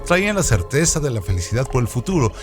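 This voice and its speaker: Expressive man narrating literary trivia. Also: medium pitch, fast, over music. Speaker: co-host of a literary radio show.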